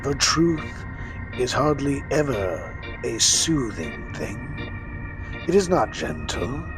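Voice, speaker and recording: Fire-and-brimstone male preacher acted by a man for radio drama. Mid pitch, highly animated, rhythmic, music under. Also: slow pace, rough recording. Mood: fervent righteous. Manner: rhythmic cadence, sudden surges and drops.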